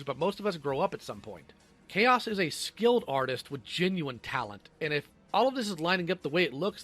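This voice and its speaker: A man's voice: fairly high pitch, bright, forceful tone. A man giving scripted online commentary in a blunt, admonishing way. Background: music.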